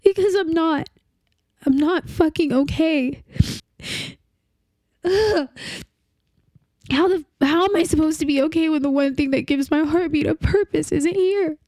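A young woman playing a grieving partner in a dramatic monologue, with a halting, tearful delivery full of restarts. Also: sits high, darkish tone, no room sound, clean studio sound. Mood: heartbroken.

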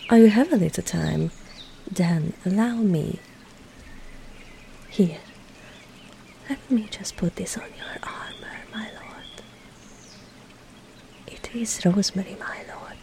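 A woman playing a teasing young medieval maid in an intimate roleplay drama, coy and playful, often dropping into breathy, hushed tones. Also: on the low side, a little hiss or hum. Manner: coy, playful, soft breathy asides, slow deliberate phrasing. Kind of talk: intimate roleplay audio drama, partly whispered.

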